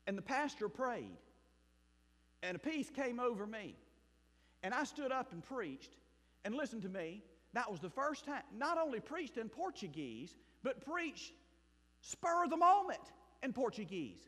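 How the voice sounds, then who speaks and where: A man from South Mississippi with a Southern accent, preaching a sermon and telling his own story as a missionary pastor, shifting between loud bursts and hushed asides. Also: very high voice, sing-song, measured pace. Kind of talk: sermon with personal testimony. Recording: long hall reverb.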